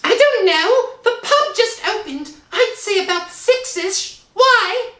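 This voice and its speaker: A woman playing an excitable, worrying working-class wife in an old-time radio drama. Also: very high voice, slow, heavily acted. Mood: worried. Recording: roomy echo.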